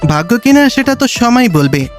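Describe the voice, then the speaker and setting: A man acting as a rich old patriarch in an audio drama, with a notably high-pitched voice. He is stern and shrewd, probing and ordering the young around. Faint music plays behind him. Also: sing-song, moderate pace. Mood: probing, authoritative. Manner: commanding, probing, speaks down familiarly to youngsters. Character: stern, shrewd wealthy old grandfather testing his grandson-in-law.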